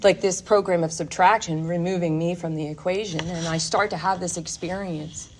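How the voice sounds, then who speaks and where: A woman's voice: on the low side, fairly even intonation, moderate pace, a little mellow, a little hiss or hum. A woman speaking at a recovery workshop, giving an earnest, confessional personal testimony full of "like" and "you know". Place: workshop meeting room.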